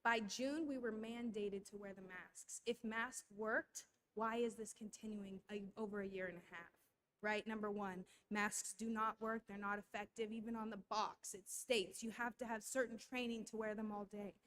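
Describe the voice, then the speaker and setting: A woman's voice: mid-range voice, steady tone, normal speed, fairly bright tone, rough recording. A woman giving a public comment at a school board meeting, speaking in a conspiratorial tone and tossing in rhetorical tag questions.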